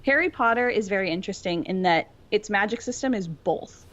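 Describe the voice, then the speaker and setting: A woman's voice: mid-range voice, expressive, fairly quick, fairly bright tone. A dull, lo-fi recording of a woman with a mid-range voice. She talks casually, like a podcast co-host breaking down a fan theory. Her phrasing is informal and explanatory, full of "like". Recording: dull lo-fi audio.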